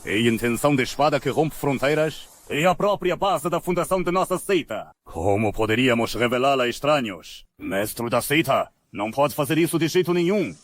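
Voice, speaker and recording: A man's voice: mid-range voice, animated, moderate pace, lightly acted. Guy doing indignant sect elder begging, comic dub, mid voice, no echo at all. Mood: alarmed, pleading. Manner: urgent, pleading. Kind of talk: dubbed motion-comic acting.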